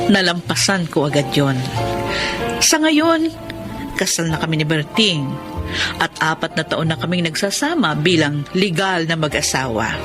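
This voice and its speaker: A woman's voice: fairly low pitch, natural voice. A woman acting a devoted stepmother and new wife in Filipino radio drama, speaking earnestly and with feeling. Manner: emotional, earnest delivery. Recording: radio drama with music bed. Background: music.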